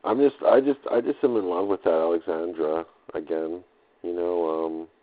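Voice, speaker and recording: A man's voice: low voice, moderate pace. A man speaking in a hesitant, repetitive, loosely drifting way with laid-back slang, recording an unscripted audio diary in dull lo-fi sound. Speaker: audio diarist.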